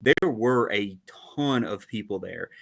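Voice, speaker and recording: A man co-hosting a fan podcast in a casual, animated chat, laughing often and using lots of fillers. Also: on the low side, unhurried, creaky. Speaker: podcast co-host.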